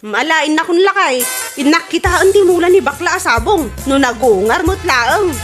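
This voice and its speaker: A woman's voice: very high-pitched, expressive, normal speed, music in the background. A woman playing a boastful, nagging Ilocano wife in a comedy radio drama. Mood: gloating. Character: boastful, nagging wife jealous of neighbour's garden.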